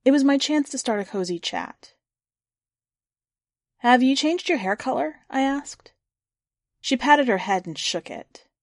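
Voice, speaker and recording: A woman hosting a fiction podcast, introducing and then reading a short story in a measured, clear storytelling delivery. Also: medium pitch, darkish tone, no echo, studio quality. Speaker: podcast host and story reader.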